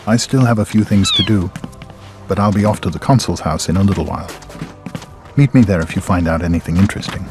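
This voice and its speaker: An actor playing a calm, fatherly older expedition leader in a video game, measured and courteous. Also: low-pitched, music in the background. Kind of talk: video game cutscene acting.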